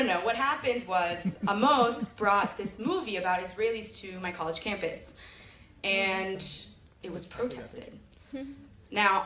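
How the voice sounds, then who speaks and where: Young woman, jokey storytelling talk on stage, mid pitch, roomy hall echo. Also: fairly bright tone, slight background noise. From Sacramento, California. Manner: chatty, jokey, self-deprecating storytelling. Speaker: presenter. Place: large auditorium with audience. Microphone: handheld mic filmed from the audience. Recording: echoey hall, audience phone recording.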